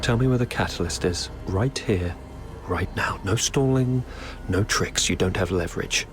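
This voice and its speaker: An actor playing a scheming, smug military officer villain with a taunting, theatrical, drawn-out delivery in a game cutscene. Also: male voice, low-pitched, over music, lightly acted. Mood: smug, menacing. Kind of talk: dramatic game cutscene acting.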